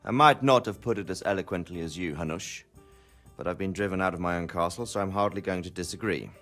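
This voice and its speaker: Brief: dry-witted noble in a game, very deep, measured. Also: male voice, a bit bright, soft background music. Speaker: exiled lord. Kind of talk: video game cutscene acting.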